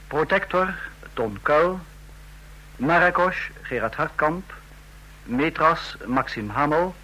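A man reading formal, measured credits as a vintage radio announcer. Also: a little high, bright, forceful tone, rough recording. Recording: old radio broadcast recording.

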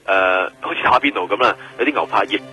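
A man's voice: fairly low pitch, fast talker, bright, forceful tone, faint music behind, poor audio. A man with a Hong Kong accent playing a matter-of-fact, helpful forensic examiner in a radio drama. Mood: businesslike. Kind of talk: Cantonese radio drama acting.

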